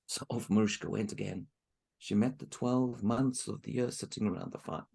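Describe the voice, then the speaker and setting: A man's voice: fairly low pitch, moderate pace, gravelly, mellow, husky. A man telling a folk tale aloud to a group, with chatty asides to his listeners. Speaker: storyteller.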